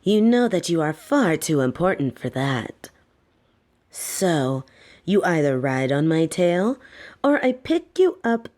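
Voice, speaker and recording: A woman playing a soft-spoken, domineering lamia caretaker in a roleplay audio, teasing and coaxing with a condescending, softly menacing edge. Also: deep voice, sing-song, slow, heavily acted. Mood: teasing, insistent. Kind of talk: scripted roleplay audio, intimate second-person address.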